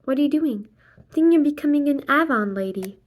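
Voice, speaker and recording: A woman reading a children's graphic novel aloud expressively, acting out the dialogue and sound words in a deliberate way. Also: sits high, expressive. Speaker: read-aloud narrator.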